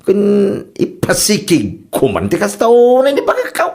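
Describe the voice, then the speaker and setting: A man with a Filipino accent playing an excitable, scheming, complaining husband in a comedy radio drama, exclamatory and exaggerated. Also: sits high, measured pace, exaggerated voice. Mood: grumbling.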